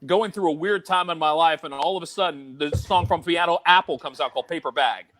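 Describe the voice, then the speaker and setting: High-voiced animated male podcaster. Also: faint music behind. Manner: animated storyteller, quick to joke. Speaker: podcast co-host, DJ. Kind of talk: casual chat.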